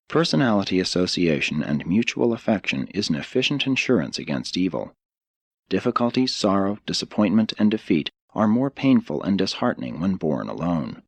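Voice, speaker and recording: A man reading a spiritual audiobook as a narrator, with a measured, formal and earnest delivery. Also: deep voice, very animated, no room sound.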